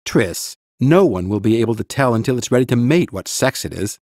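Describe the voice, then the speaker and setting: An actor playing a stern but kindly mage teacher in an audiobook, with a measured, instructive, dryly practical manner. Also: male voice, medium pitch, expressive, darkish tone, no echo, studio quality. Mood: dry advising. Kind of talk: full-cast audiobook dialogue.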